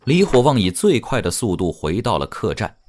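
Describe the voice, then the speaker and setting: Low-pitched, animated male narrator. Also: moderate pace, slight background noise. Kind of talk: dramatic scripted audiobook narration. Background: music.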